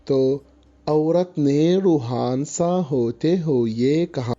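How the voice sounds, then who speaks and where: A man's voice: a little high, mellow, lo-fi sound. A man narrating a scripted moral story as a storyteller.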